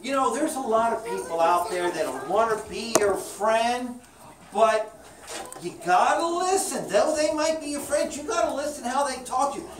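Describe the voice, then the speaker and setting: A woman's voice: on the high side, animated, normal speed. A woman leading a question-and-answer Bible lesson for young children, repeating her questions and phrases for them. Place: small indoor classroom. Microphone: far camera. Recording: distant camera mic, roomy, background noise.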